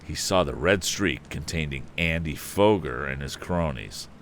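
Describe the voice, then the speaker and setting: A man's voice: extremely low pitch, expressive, unhurried, a little hiss or hum. A man hosting a story stream, reading a book expressively and chatting casually between passages. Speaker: storytelling stream host, reader. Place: indoor live stream.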